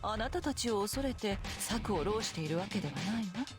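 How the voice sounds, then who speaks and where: A woman's voice: medium pitch, animated, racing, lightly acted. A woman voicing a haughty, menacing sorceress with refined, taunting speech in a visual novel game, with music behind her. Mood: haughty confidence. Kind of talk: Japanese visual novel voice acting.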